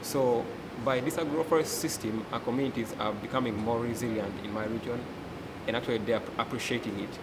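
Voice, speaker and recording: A young man from Uganda with a Ugandan accent, speaking earnestly as a forest educator and delegate, often pausing with "uh". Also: medium pitch, fairly even intonation. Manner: earnest, many "uh" pauses. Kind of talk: interview testimony. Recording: loud background hum.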